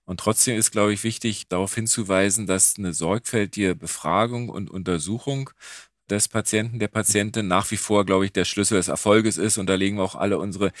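A man's voice: lowish voice, steady tone, a little fast, no room sound, studio quality. A man speaking as a medical professor, explaining technical matters in an emphatic, conversational manner. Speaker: rheumatology professor, expert guest. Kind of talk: explanatory expert talk.

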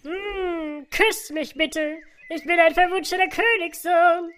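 An actor playing a small, hopeful, lovelorn frog prince who pleads plaintively in an audio fairy tale. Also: male voice, extremely high pitch, fairly even intonation, slow, fairly bright tone, slight background noise, exaggerated voice. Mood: pleading.

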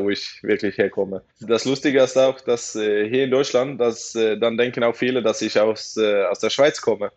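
A man's voice: on the low side, a little mellow, highs cut off. Hesitant man with a Norwegian accent. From Norway. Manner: hesitant, many fillers, searching for German words. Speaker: guest, handball player. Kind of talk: casual podcast interview.

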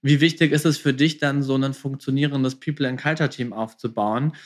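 A man's voice: mid-range voice, steady tone, a little fast. A man hosting a casual podcast interview, speaking informally and mixing English business jargon into his sentences.

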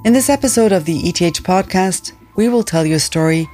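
A woman hosting a podcast, telling a story in calm, scripted narration. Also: lowish voice, brisk, soft background music. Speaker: podcast host.

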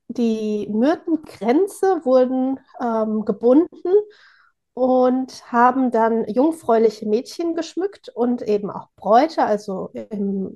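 A woman co-hosts a podcast in a casual, talkative way. Her voice sits a little high, and she often hesitates with small fillers while explaining. She sounds at ease. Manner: warm, chatty, with frequent filler pauses. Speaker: podcast co-host.

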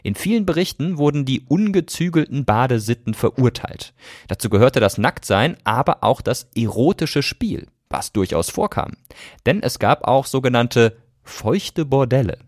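Lively male podcast host. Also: mid-range voice, normal speed, dry recording, studio quality. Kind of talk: scripted narration addressing listeners directly.

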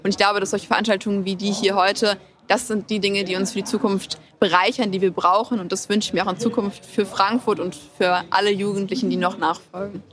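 This eighteen-year-old woman talks quickly and fluently, like someone used to speaking for a group. She answers interview questions as a student representative, in full and composed sentences. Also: medium pitch, flat delivery, fairly bright tone. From Germany. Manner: articulate, quick, speechlike. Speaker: deputy city student representative. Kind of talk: event interview.

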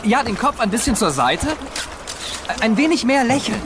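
Schmeichelnden fotografen am strand, lebhafte stimme, hörspiel, deutliches rauschen. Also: male voice, very high-pitched, moderate pace. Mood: coaxing. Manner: coaxing, flattering. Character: young male beach photographer, smooth flatterer. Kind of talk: radio play acting. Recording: radio play clip with noisy background.

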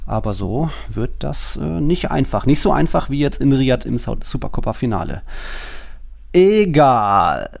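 Male podcast co-host, average pitch, creaky voice, informal, thinking aloud, casual football chat. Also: big pitch swings, measured pace, darkish tone.

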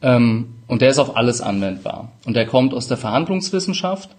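A man's voice: mid-range voice, very animated, fairly quick, darkish tone. A man, explaining conversationally as an interview guest and illustrating his points with examples. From Palatinate, Germany. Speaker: interview guest, lawyer, mediator and negotiation trainer.

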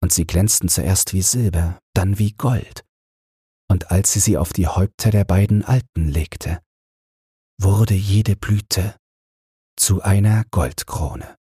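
Bedtime audiobook narrator, male: slow, calm and soothing, in a close, dry recording. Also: low-pitched, slightly rough, no room sound.

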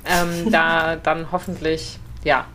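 Lower-voiced woman chatting on a podcast. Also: little pitch movement, takes it slowly, fairly bright tone, a little hiss or hum. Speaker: podcast co-host. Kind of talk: casual podcast chat.

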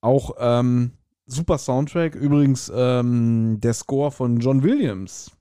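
A man's voice: mid-range voice, slow, dark tone, smooth, dry recording, studio quality. A man co-hosting a podcast in casual chat, talking enthusiastically and rambling as he repeats phrases. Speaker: podcast co-host.

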